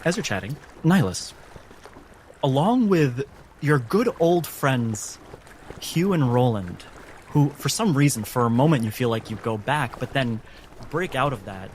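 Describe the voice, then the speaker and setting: A man's voice: medium pitch, very animated, unhurried, darkish tone, a little hiss or hum. A man narrating scenes as game master of a tabletop role-playing podcast.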